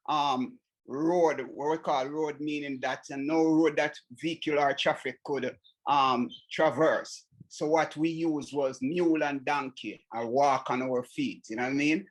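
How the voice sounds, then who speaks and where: A Jamaican man, a singer, answering interview questions in a relaxed way and often adding "you know what I mean". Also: a little high, measured pace, poor audio. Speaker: singer and songwriter guest.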